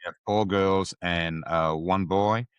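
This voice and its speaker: A man's voice: low-pitched, fairly even intonation, slow pace, smooth, no room sound, highs cut off. A man from Ivory Coast with an Ivorian French accent, a native French speaker, answering interview questions hesitantly with many fillers. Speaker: podcast guest. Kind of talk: casual interview talk.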